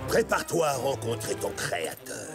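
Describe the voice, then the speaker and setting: A man's voice: mid-range voice, huge pitch swings, normal speed, creaky. A man voicing a pompous, short-tempered villainous army commander in a French cartoon dub, haughty and theatrical. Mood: exasperated, menacing. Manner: haughty, theatrical, barking orders. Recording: music bed under dialogue.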